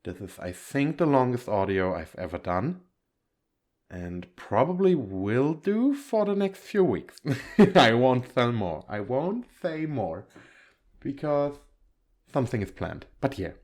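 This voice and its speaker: A man's voice: mid-range voice, sing-song, slow pace, darkish tone. A man giving a casual outro to viewers as an audio creator, rambling, giggly and often correcting himself.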